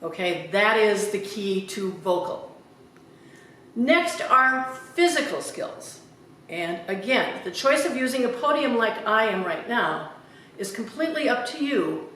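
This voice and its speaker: A woman's voice: medium pitch, animated, fairly bright tone. A woman giving a public speaking lesson at a podium, speaking carefully and enunciating each word. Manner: careful, deliberate, clearly enunciated. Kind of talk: instructional demonstration at a podium. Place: church hall, speaking at a podium. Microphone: camera at a distance. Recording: very echoey hall from far off, faint noise.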